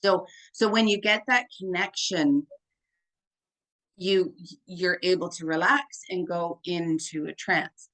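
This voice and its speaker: A chatty woman with a deep, full voice, sitting somewhat low in her register. She speaks as a hypnotherapist guest in a relaxed interview and keeps dropping in "like" and "right?" as she goes. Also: slow, rough recording. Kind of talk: casual conversation.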